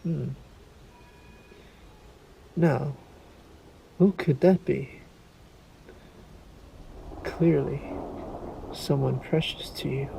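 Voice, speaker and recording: A man performs a suave male vampire in an intimate audio roleplay, with faint stormy noise behind him. His voice is mellow and dark with a creaky edge. He speaks in a theatrical, silky way, menacing and possessive as he toys with his prey. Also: a little high, steady tone, full character voice, rough recording. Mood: mocking, curious. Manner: taunting, silky, theatrical, deliberate phrasing. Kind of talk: slow intimate audio roleplay monologue to the listener.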